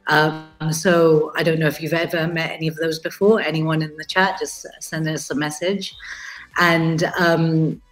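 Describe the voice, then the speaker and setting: A woman's voice: sits low, little pitch movement, unhurried, faint music behind, poor audio. A middle-aged woman from Kenya with a British accent, chatting casually about her life as a guest artist over a video call, with many fillers and restarts.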